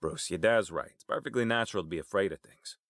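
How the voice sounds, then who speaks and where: An actor playing an easygoing, streetwise, affectionate uncle in an audio drama, talking in a familiar, colloquial way. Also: male voice, low-pitched, quick pace, fairly bright tone, a little hiss or hum. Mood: frank, encouraging.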